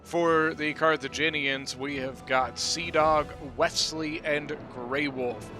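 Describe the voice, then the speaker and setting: A man hosting his gaming channel, giving relaxed, chatty commentary on a battle replay, peppered with "you know" and "uh". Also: on the high side, slow, faint music behind.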